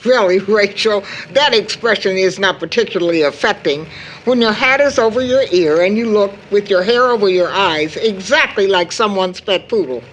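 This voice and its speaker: A woman's voice: medium pitch, normal speed, a little hiss or hum, lightly acted, low-quality recording. A middle-aged woman acting a tired, stern but fond mother in a period audio drama, speaking with proper, precise, scolding phrasing. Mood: fondly scolding.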